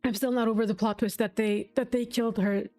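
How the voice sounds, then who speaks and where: A woman's voice: on the high side, fairly even intonation, fairly quick, dark tone, no room sound. A woman giving casual, emotionally invested reaction commentary on a game, thinking aloud and swearing freely. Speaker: game streamer. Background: faint game music.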